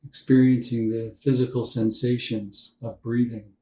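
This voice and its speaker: Mellow, echoey man guiding meditation. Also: medium pitch. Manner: calm, unhurried, gentle instruction with long pauses. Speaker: meditation guide. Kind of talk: guided body scan meditation for sleep. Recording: roomy echo, distant from mic.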